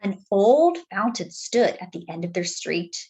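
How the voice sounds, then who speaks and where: A woman reading a picture book aloud to young children as a storytime host, expressive and speaking directly to her listeners. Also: medium pitch, a little slow, slightly rough, poor audio.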